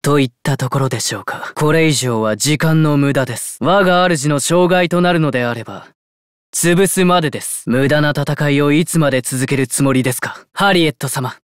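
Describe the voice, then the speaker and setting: An actor playing a young god of justice in a game, a loyal, formal and condescending warrior. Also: male voice, a little high, normal speed, clear, resonant tone, no echo, clean studio sound, natural voice. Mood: cool, disdainful. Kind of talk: Japanese video game battle lines.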